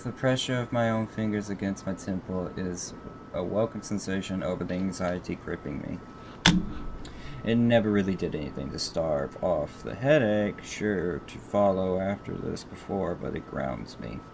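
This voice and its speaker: A man's voice: deep voice, expressive, moderate pace, gravelly. Blunt man streaming over background noise. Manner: swears constantly, blunt. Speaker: game streamer. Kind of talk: live stream chat mixed with reading visual novel text aloud.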